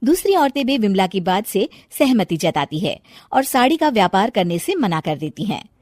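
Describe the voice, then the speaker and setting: A woman's voice: medium pitch, big pitch swings, moderate pace, darkish tone, dry recording, clean studio sound. A woman narrating a scripted story as its storyteller.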